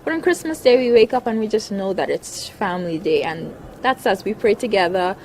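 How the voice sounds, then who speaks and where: A young woman chats easily in an interview, listing things and laughing as she talks. Her voice sits at an ordinary pitch for a woman, with faint background noise under the recording. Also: animated, a little fast, low-quality recording. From Bahamas. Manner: chatty, laughs often, lists things. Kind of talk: casual interview.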